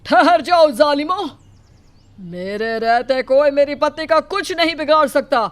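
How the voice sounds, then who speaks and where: A woman voicing a stern older father who scolds forcefully in a cartoon story. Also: high voice, lively intonation, unhurried. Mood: angry. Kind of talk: Hindi cartoon dubbing.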